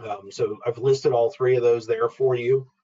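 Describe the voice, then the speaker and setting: A man presenting an informal, step-by-step software walkthrough in an explanatory, instructional way, as a webinar trainer. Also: mid-range voice, steady tone, normal speed, muffled recording. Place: online webinar screen-share.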